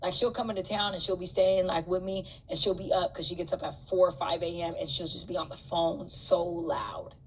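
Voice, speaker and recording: A woman's voice: fairly low pitch, flat delivery, brisk, few pauses. An older woman chatting casually on a podcast, talkative and laid-back. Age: older adult. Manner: talkative, laid-back, says she is loud. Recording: distant mic with faint room noise.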